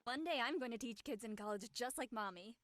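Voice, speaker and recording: Woman playing a high, bright, sassy little girl. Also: a little fast, lightly acted. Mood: boastful. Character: sassy, tattling ten-year-old little sister.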